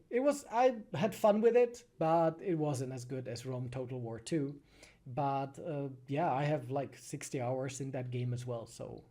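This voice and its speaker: Mellow-voiced Czech man, slightly high pitch. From Czech Republic. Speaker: animated film creator and streamer. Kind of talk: casual livestream Q&A, reading and answering chat questions.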